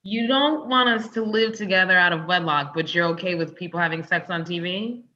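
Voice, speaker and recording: Woman as a bright, blunt, sardonic girlfriend. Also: fairly low pitch, a little fast, highs cut off, a little hiss or hum. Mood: exasperated. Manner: sardonic, challenging. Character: blunt, exasperated live-in girlfriend. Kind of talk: comedic script table read.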